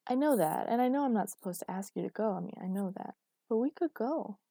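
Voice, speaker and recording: A woman's voice: mid-range voice, lively intonation, a little fast, creaky, mellow, no echo, clean studio sound. A woman voicing a headstrong, dreamy and defiant woman with naive candour in a dramatic story reading. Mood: gentle, hesitant.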